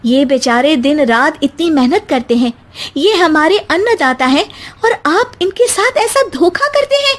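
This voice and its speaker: Lady doing a feisty young city daughter-in-law, pretty high voice, speaks her mind, story drama. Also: expressive, a little slow. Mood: indignant. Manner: earnest, outspoken.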